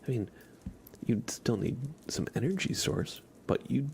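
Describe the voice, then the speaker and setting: A man chatting casually and softly as an ASMR livestream host, often slipping into a whisper. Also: mid-range voice, big pitch swings, a little slow, vocal fry, slight background noise. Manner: soft-spoken, often dropping into a whisper. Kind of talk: ASMR, casual rambling chat with live viewers.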